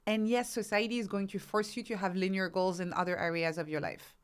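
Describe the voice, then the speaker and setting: A woman's voice: mid-range voice, steady tone, brisk, clean tone. A woman guest explaining and advising conversationally, with emphatic repetition and rhetorical questions. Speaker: podcast guest, expert author.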